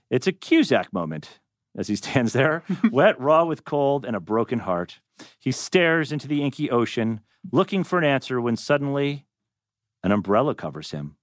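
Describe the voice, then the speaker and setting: A man reads out script directions as narrator. His medium-pitched voice moves up and down with lots of expression, and the sound is dry and close. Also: no echo. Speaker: narrator reading stage directions. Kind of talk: animated script reading.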